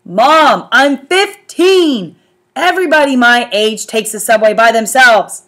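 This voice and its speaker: A woman voicing a headstrong fifteen-year-old girl, raised and bright in tone. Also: fairly high pitch, expressive, slow pace, voice pushed up, exaggerated voice.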